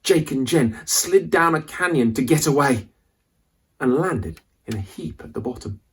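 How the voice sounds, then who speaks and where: A man reading a children's adventure story aloud as a dramatic, suspenseful narrator with deliberate delivery. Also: medium pitch, lively intonation, husky.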